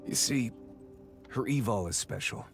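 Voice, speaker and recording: An actor playing a deferential but blunt inventor aide in a dramatic game, explaining technical things in a measured, cautious way. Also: male voice, on the low side, expressive, gravelly, fairly bright tone, soft background music, full character voice. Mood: cautious, explanatory.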